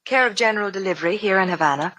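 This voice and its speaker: An actress playing an earnest, anxious wealthy wife in a 1950s radio drama. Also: female voice, mid-range voice, very fast, fairly bright tone, dry recording, close to an everyday voice, poor audio. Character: rich, pleading, earnest wife, refined and anxious.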